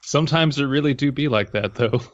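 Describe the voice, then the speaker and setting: A man's voice: medium pitch, a little fast, muffled recording, a little hiss or hum. Male podcast co-host, mid-range voice, casual banter with wry quips.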